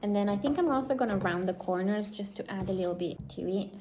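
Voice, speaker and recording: A woman's voice: mid-range voice, fast, darkish tone, a little hiss or hum, rough recording. Chatty woman vlogging. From Romania. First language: Romanian. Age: late 20s. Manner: chatty and reflective, with light laughs. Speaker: lifestyle vlogger. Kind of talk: casual vlog narration.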